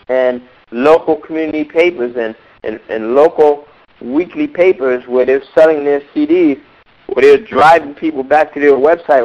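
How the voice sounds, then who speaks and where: Hoarse male coach, emphatic and repetitive, on a dull lo-fi teleseminar line. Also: fairly high pitch, a little mellow. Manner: emphatic, repeats words for stress. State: hoarse from a cold. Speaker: music business coach. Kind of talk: motivational teleseminar talk.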